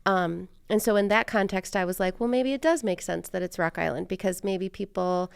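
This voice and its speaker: American woman podcast host, bit of fry, dry close sound, chatty and informal, says like a lot. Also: medium pitch, brisk, dry recording. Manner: conversational, informal, frequent use of "like". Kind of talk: casual interview chat.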